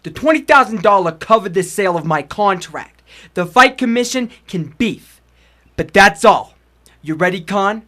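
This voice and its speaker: A man's voice: sits high, animated, normal speed, bright, forceful tone. Curt, callous tough-guy boxer, played by a man. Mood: cold, defiant. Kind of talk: 1940s noir radio drama acting.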